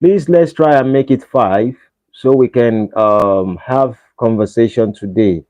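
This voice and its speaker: A man's voice: medium pitch, unhurried, darkish tone. Nigerian man pleading with livestream viewers. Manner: pleading, repeatedly asking viewers for likes. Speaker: livestream host. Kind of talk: casual live-stream chat. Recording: dull lo-fi livestream audio.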